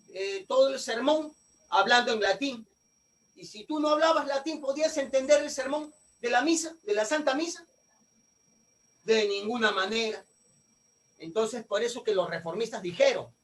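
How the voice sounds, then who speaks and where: A man teaches a history class, often tossing questions to students and answering them himself. His voice sits very high for a man. He sounds a bit distant from the mic. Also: fairly bright tone. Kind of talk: online class lecture.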